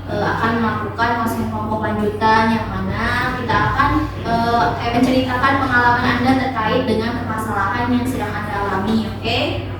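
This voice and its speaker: A woman's voice: on the high side, little pitch movement, moderate pace, a crowd in the background. Woman in her 20s, group facilitator, friendly, fillers, echoey room from far off. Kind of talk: leading a role-play counseling session.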